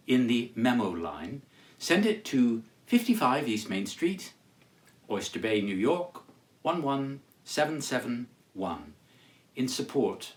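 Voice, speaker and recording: A man giving a formal, declamatory literary reading as a storyteller, with deliberate phrasing and dramatic pauses. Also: medium pitch. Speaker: storyteller reading as Charles Dickens. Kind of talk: theatrical literary reading with introduction.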